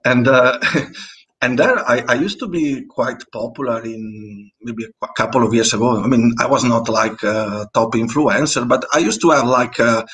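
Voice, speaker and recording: An Italian man speaks English with a clear Italian accent, his voice sitting at an ordinary middle pitch. He chats casually as a guest artist. He talks hesitantly, pausing on fillers and restarting his sentences as he goes. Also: a bit bright. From Italy. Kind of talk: casual interview chat.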